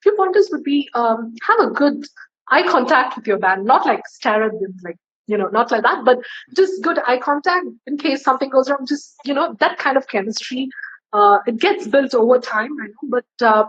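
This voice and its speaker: A woman's voice: lively intonation, normal speed, a bit bright, husky. A woman singer chats through practical tips, friendly and loose, with plenty of fillers. Her voice sits a little above the middle. The audio is dull and lo-fi, like a video call. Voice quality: low, bass-leaning voice for a woman. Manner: chatty, giving friendly tips with many fillers. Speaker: singer, interview guest. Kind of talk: casual interview chat.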